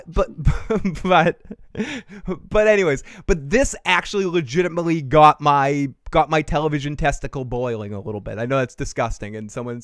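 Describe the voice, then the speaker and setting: An excitable man with a Boston accent hosts a casual fan show. His voice sits fairly high and very clear, recorded dry and close. He rambles emphatically and swears freely. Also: no echo. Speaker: host of a TV fan call-in show. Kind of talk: casual, profane fan commentary.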